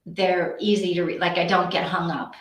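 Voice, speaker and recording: A woman's voice: fairly low pitch, level tone, normal speed, fairly bright tone. A woman hosting a casual livestream, chatting in a rambling way as she thinks aloud. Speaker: host of a live reading sprint stream. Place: indoor room with echo. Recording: echoey untreated room.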